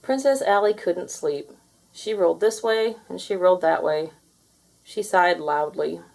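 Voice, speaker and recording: A woman reading a children's story aloud in an expressive, deliberate storytelling manner. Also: fairly low pitch, gravelly. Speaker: storybook reader. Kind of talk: children's read-aloud narration with character voices.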